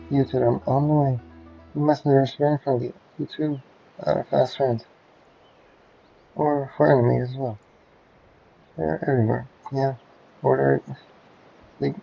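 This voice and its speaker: A man's voice: medium pitch, gravelly, slightly rough. A man streaming a game, reading its text aloud. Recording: muffled audio with game music.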